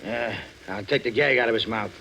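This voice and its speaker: A man's voice: fairly low pitch, brisk, creaky. A man acting a chatty, dim gangster sidekick in 1940s radio style, talking in folksy slang. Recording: old radio recording with faint noise.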